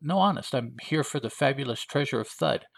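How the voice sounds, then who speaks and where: A man's voice: mid-range voice, lively intonation, brisk, vocal fry, pitched above the performer's own voice. A man voicing a bold, sarcastic young adventuress from a comic book, with cheeky, unbothered retorts. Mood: flippant.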